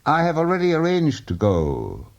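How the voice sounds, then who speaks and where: A man doing an awkward, defensive gentleman. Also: fairly high pitch, wildly swooping pitch, moderate pace, dull audio, pitched above the performer's own voice. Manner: hesitant, evasive, then curt. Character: awkward, defensive middle-aged Irish gentleman.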